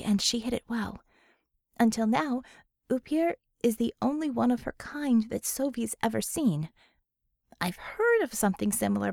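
A confident woman expert in a superhero story, acted by a female narrator. She is candid and urgent, explaining in everyday words with a fairly high pitch and very little room sound. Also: creaky, darkish tone, dry recording, clean studio sound. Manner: colloquial, explanatory.

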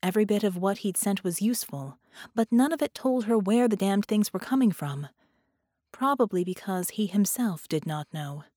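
A woman's voice: mid-range voice, animated, moderate pace, dark tone, dry recording, clean studio sound. A woman reading scripted fiction as an audiobook narrator.

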